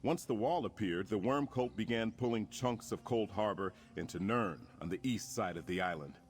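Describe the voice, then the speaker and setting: An actor playing a grave, resolute military leader in a fantasy game, speaking formally and earnestly with a commanding manner. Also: male voice, lowish voice, normal speed, natural voice. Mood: grave, informative. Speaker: quest-giving game character. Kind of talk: scripted fantasy game dialogue. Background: music.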